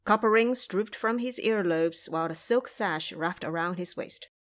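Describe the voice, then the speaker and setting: A woman narrating a scripted audio drama as a storyteller, with careful, expressive phrasing. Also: lowish voice, moderate pace.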